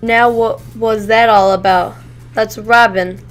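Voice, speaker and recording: A woman's voice: fairly high pitch, steady tone, takes it slowly, soft background music. A young woman playing an eager young archer heroine about to start college, in an amateur audio drama. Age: young adult.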